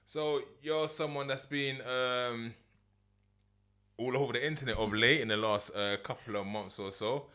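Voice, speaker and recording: A man hosting a podcast, asking short, relaxed questions. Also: medium pitch, unhurried. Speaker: podcast host. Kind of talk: casual interview questions.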